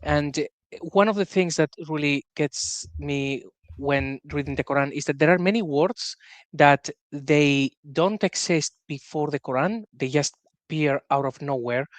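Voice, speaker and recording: A man's voice: medium pitch, no room sound. A man appearing as a guest on a podcast, explaining his views in a thoughtful, slightly hesitant way. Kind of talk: casual discussion.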